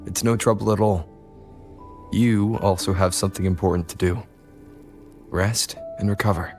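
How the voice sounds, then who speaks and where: A man voicing a calm, kindly adventurer in a video game, with a gentle, reassuring manner. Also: lowish voice, normal speed, natural voice. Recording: music behind the voice. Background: music.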